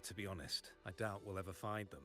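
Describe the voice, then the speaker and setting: A tired, dutiful gang agent, low-pitched and flat, giving the facts without fuss. Game music sits quietly under the lines. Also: male voice, a little fast. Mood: matter-of-fact. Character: weary adult male gang agent, dutiful and resigned. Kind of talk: video game dialogue.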